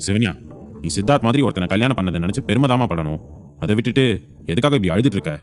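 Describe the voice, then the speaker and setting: Man playing stern, fast-talking protective grandfather. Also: fairly low pitch, lively intonation, darkish tone, lightly acted. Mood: firm, reassuring. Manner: authoritative, emphatic, reassuring to granddaughter. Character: stern, protective grandfather, indignant family elder. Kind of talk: audio serial drama acting.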